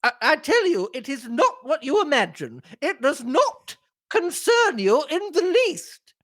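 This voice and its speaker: A man voicing an agitated, haughty elderly woman of means in a drawn-out, theatrical way for a story reading. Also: very high voice, big pitch swings, a bit bright, pitched above the performer's own voice, exaggerated voice. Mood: agitated, defensive.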